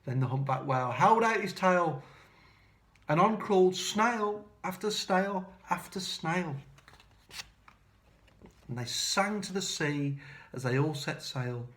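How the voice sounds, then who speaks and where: A man telling a children's story, reading rhyming verse aloud with dramatic, deliberate emphasis. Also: on the high side, animated, fairly bright tone. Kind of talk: expressive read-aloud of a rhyming picture book.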